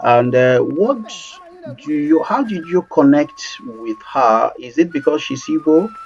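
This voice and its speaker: A male interview host who talks haltingly with many fillers, wrapping up a remote interview. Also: on the high side, expressive, darkish tone. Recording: dull sound with faint music.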